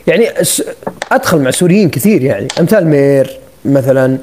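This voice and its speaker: A man hosts a casual phone live stream, full of jokes and teasing. He talks quickly, and his voice jumps around a lot. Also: medium pitch, lively intonation, a little mellow, a little hiss or hum. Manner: teasing, joking banter. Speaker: livestream host.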